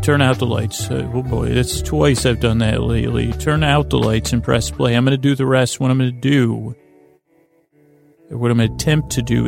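A man hosting a bedtime podcast in a creaky, soothing voice, meandering and halting as he lulls listeners to sleep with whimsical tangents. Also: medium pitch, darkish tone. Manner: meandering, halting, often trails off and repeats himself. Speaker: podcast host. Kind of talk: rambling bedtime monologue to lull listeners to sleep.

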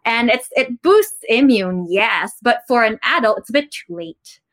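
A woman from Taiwan who grew up in the Philippines, a guest telling personal stories in a lively way and laughing between phrases. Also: on the high side, unhurried. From Taiwan, raised in the Philippines. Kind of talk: conversational interview.

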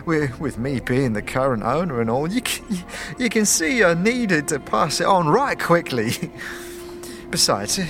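High, stammering, cowardly fantasy lowlife. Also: male voice, big pitch swings, measured pace, fairly bright tone, soft background music, full character voice. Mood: nervous, pleading. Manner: stammering, rambling, nervously chuckling. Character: nervous, cowardly, evasive lowlife man of a fantasy city. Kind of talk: fantasy audio drama acting.

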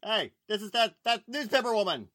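An actor playing a brash small-town mob heckler, jeering, in a 1940s radio drama. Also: male voice, sits high, expressive, brisk, sharp tone, no echo, clean studio sound. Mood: scornful.

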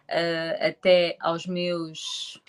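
A woman's voice: lowish voice, completely flat delivery, extremely slow, clear, resonant tone. A woman in her forties from Angola who grew up in Portugal, chatting casually and playfully as an interview guest. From born in Angola, grew up in Portugal. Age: 40s. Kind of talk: casual chat.

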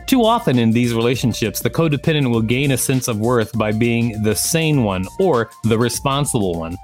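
Mid-pitched man reading over soft guitar music. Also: big pitch swings, moderate pace. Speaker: podcast co-host reading an encyclopedia article. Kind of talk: scripted narration read aloud, with brief casual commentary.

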